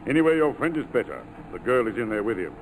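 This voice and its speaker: An actor playing an easygoing former doctor turned riverboat captain in a 1940s radio drama, with a hesitant manner. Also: male voice, medium pitch, sing-song, fast talker, lightly acted. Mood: mild, explaining. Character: older French riverboat captain, once a doctor. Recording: noisy 1940s radio broadcast.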